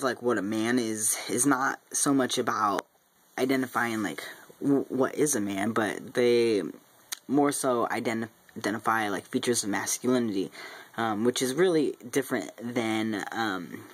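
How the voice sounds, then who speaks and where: A young man from Central Texas talks casually to his camera, his faint Texan accent surfacing now and then. He rambles and restarts his thoughts, filling pauses with ums. His voice sits at an ordinary middle pitch. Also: a bit bright. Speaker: regular vlogger. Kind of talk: casual vlog monologue.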